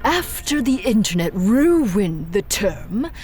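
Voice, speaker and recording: Slow-talking, wry henchman recruiter. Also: medium pitch, sing-song, a little mellow, a little hiss or hum. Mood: dry, reassuring. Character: businesslike, wry female recruiter for supervillain henchmen. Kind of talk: audio drama acting, conversational job interview.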